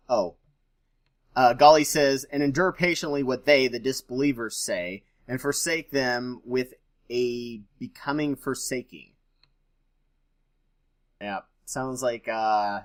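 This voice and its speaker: Man reading aloud with casual skeptical asides. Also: medium pitch. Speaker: host reading and commenting on scripture.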